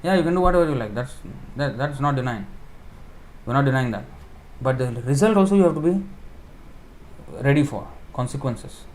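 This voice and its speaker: A man at a mid pitch delivers a relaxed sermon and builds each point from simple everyday pictures. He breaks off with fillers and corrects himself as he goes. A faint ambient hum sits under the recording. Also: fairly quick, pauses often, poor audio. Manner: explains with homely examples, stops to correct himself, thinks out loud. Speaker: preacher giving a scripture class. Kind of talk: informal sermon.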